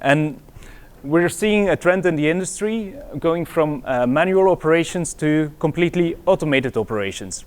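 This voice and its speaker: A middle-aged Belgian man presents at a business conference, his accent clear as he works through the talk. His voice is smooth and resonant and sits a bit high for a man. He pauses often on fillers and restarts his phrases. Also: expressive. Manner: hesitant, many fillers and restarts. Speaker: conference speaker.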